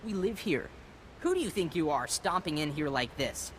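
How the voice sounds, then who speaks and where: Actor doing a scrappy street kid from a game, blunt and defensive, very animated pitch, faint noise behind. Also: normal speed, lightly acted. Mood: defiant, defensive. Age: child character. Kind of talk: video game character acting.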